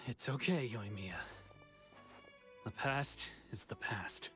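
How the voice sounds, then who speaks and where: A man's voice: mid-range voice, slow pace, sharp tone. A man playing a resigned swordsman in a video game, speaking in a subdued, weary and restrained manner. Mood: gentle, defeated. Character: resigned, subdued male swordsman accepting his fate. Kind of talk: dramatic video game voice acting. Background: game music.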